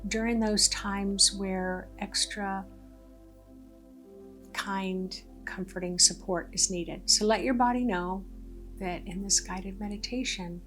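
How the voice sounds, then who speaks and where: A woman leading a guided meditation in a gentle, deliberate way, pausing between short phrases. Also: medium pitch, fairly even intonation. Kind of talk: guided meditation, soothing narration. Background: background music.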